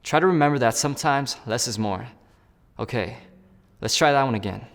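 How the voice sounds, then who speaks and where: A man's voice: mid-range voice, lively intonation, fairly quick, vocal fry. Man explaining his interview steps in an echoey room. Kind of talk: scripted role-play with asides to the viewer.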